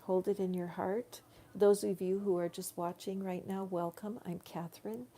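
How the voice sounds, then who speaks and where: A woman giving a live tarot reading in slow, soothing spiritual guidance, her voice growing tired. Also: medium pitch, fairly even intonation, dark tone. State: tiring voice. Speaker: tarot reader.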